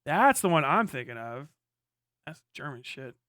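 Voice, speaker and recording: A man's voice: a little high, very animated, moderate pace, sharp tone, no echo, studio quality. A man chatting casually on a livestream, muttering to himself in slangy, profane language. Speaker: live streamer. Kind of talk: casual livestream chat with bursts of singing.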